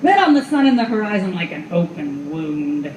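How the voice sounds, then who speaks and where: A woman's voice: fairly low pitch, big pitch swings, moderate pace, a little mellow. A woman performing as the narrator of a noir radio play, telling it in clipped, vivid, dramatic phrases. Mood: urgent. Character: stark female noir storyteller. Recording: echoey live room with clear background noise.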